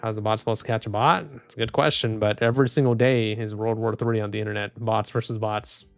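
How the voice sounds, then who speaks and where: A man live streaming and reading chat, speaking softly and calmly with frequent restarts. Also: on the low side, fast, gravelly, dry recording. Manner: soft-spoken, calm, trailing off with restarts and small laughs. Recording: dry close mic, faint music underneath.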